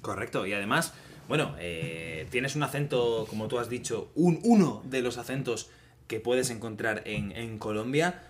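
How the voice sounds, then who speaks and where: A podcast co-host from Spain who speaks in a relaxed way, with many fillers and drawn-out questions. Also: mid-range voice. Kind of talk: casual podcast interview.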